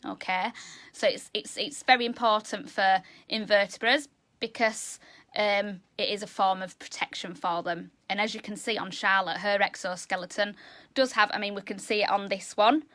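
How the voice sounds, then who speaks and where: Loud high-voiced woman educator. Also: fairly even intonation, moderate pace. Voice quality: high-pitched voice. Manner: loud, chatty, enthusiastic, talks to kids. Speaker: zoo educator. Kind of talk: live educational animal talk.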